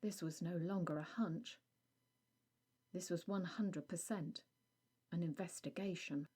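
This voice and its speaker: A woman reading a scripted audio story as narrator, in a measured storytelling delivery. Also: fairly low pitch, dark tone, slightly rough.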